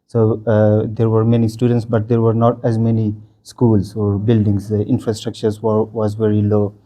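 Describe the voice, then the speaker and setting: A man's voice: fairly low pitch, monotone, moderate pace, warm tone. A man in his thirties from Afghanistan, whose first language is Pashto, answering interview questions in a hesitant way with many fillers and restarts. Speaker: interview guest.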